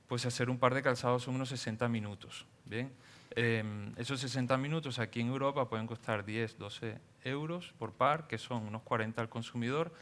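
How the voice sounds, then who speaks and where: A man, speaking as a guest on a panel and explaining at a measured pace with many fillers. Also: mid-range voice, fairly bright tone. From Venezuela. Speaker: panel guest, engineer and entrepreneur. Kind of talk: panel talk.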